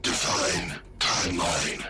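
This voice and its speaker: An actor voicing an ancient, malevolent war-machine intelligence in a video game, with a booming synthetic voice and terse, commanding pronouncements. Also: male voice, steady tone, slow, background noise. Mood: cold, terse. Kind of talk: video game villain acting.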